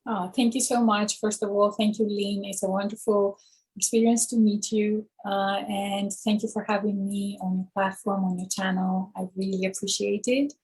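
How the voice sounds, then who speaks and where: A woman from Romania, a guest author, answering interview questions thoughtfully with many pauses and ums. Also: mid-range voice, flat delivery, darkish tone, breathy edge, poor audio.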